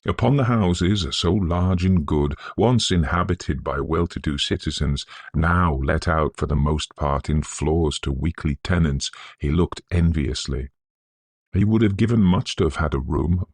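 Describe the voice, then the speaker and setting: A very deep-voiced man narrates a Victorian ghost story. His delivery is scholarly and measured, with a husky grain, recorded close and dry. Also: darkish tone, dry recording.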